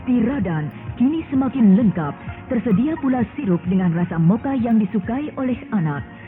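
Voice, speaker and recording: A woman's voice: on the high side, lively intonation, moderate pace. A woman delivering scripted advertising narration as a radio commercial voice-over. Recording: dull radio recording with music. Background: music.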